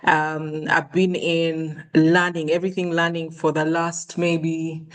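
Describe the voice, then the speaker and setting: Middle-aged female guest speaker, Ugandan accent, slightly low voice, measured and hesitant. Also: level tone. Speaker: guest speaker, learning consultant. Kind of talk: informal self-introduction to an online audience.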